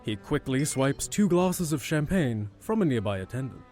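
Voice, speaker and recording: A man's voice: medium pitch, sing-song, normal speed, a little mellow. Male narrator over prominent string music. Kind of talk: scripted audio drama narration.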